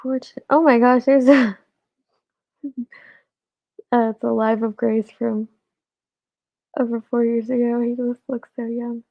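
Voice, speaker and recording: Chatty woman with a rough, gravelly voice. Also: a little high, little pitch movement, a little slow, pauses often, dry recording, dull audio. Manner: chatty, laughs often, asides to chat viewers. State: congested, rough voice. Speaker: livestream host. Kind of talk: casual chat with live chat.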